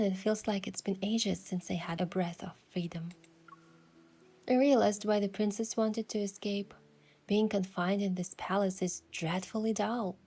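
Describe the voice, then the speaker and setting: A woman voicing a curious young woman posing as a princess, narrating her own story softly in a measured, wistful way. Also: mid-range voice, husky. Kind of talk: scripted first-person story narration with acted dialogue.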